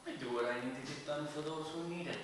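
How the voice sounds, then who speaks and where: An actor playing a shady, evasive club owner in an old-time radio detective serial. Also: male voice, on the high side, quick pace, a little hiss or hum, lightly acted. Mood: sly. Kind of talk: 1940s radio serial acting. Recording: very roomy distant hall recording.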